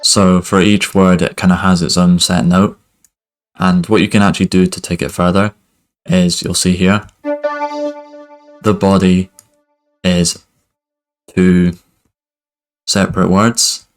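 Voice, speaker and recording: A young man giving a relaxed music production tutorial at his desk, talking loosely with lots of fillers. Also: deep voice, slow, pauses often. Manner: relaxed, many fillers like "kind of" and "basically". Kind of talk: casual screen-recorded tutorial.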